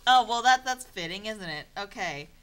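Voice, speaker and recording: A woman's voice: mid-range voice, lively intonation, normal speed, fairly bright tone, smooth. A woman doing an informal dramatic reading of a story, with sardonic, exasperated delivery and casual asides. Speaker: narrator of a comedic fan fiction reading.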